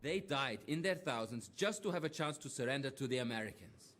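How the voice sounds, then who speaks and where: A man's voice: mid-range voice, expressive, brisk, a bit bright, lightly acted. A man acting as a weary Soviet war veteran, giving somber, bitter first-person narration in a video game.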